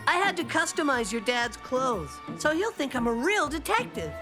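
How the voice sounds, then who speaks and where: A woman's voice: high voice, big pitch swings, moderate pace. A woman voicing a cocky young boy who plays detective in a children's cartoon, with a boastful, exclamatory way of talking. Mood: confident. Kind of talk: animated children's cartoon acting. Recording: music under the dialogue.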